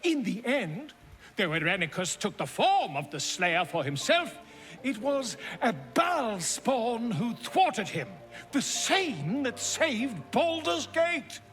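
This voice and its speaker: An actor playing a flamboyant, self-important bard in a video game, speaking in a florid, theatrical and chummy manner. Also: male voice, very high voice, big pitch swings, slow, fairly bright tone, soft background music, heavily acted. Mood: ominous, dramatic. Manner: theatrical, florid, grandiloquent storytelling. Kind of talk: video game character acting.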